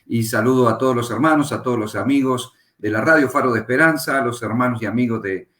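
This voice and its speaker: A middle-aged Argentine man, a pastor and Bible teacher, calmly telling his life story as a guest in an interview. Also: medium pitch. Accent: Argentine Spanish. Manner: unhurried, reflective, pauses with fillers. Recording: echoey room.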